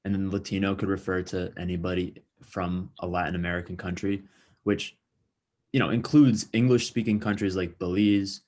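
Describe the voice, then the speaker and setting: A man's voice: low-pitched, steady tone, normal speed, darkish tone. A young man co-hosting a podcast, chatting casually and thinking aloud with frequent fillers and restarts. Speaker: podcast co-host. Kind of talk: casual discussion.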